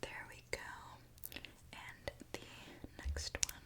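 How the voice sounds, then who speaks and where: A woman performs an ASMR roleplay as a mechanic in a soft whisper. She speaks slowly and gently, narrating each step as she goes. Her delivery is personal and attentive, addressed straight to the listener.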